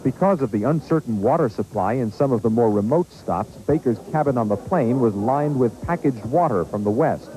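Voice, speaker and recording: An American man narrates a scripted foreign news report as a correspondent. His voice is mid-pitched, with a soft, mellow tone. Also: expressive, normal speed, hardly any pauses, soft background music, low-quality recording.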